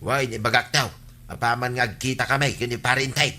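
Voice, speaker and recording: Very sharp, bright Ilocano village man, acted. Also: mid-range voice, steady tone, brisk, natural voice. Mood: gossipy. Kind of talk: comedy radio drama acting.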